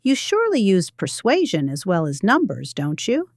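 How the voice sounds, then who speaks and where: A woman narrator voicing a shrewd older woman who asks measured, formal, probing questions in a story. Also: medium pitch, wildly swooping pitch, no room sound, clean studio sound. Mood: coolly probing.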